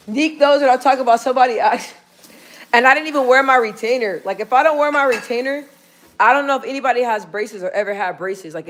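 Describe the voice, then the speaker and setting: A chatty woman hosting a casual livestream, her voice sitting a bit high. She rambles easily, answers her viewers' comments as they come in and turns aside now and then to talk to people in the room. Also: low-quality recording. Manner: chatty and rambling, with frequent laughs. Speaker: livestream host.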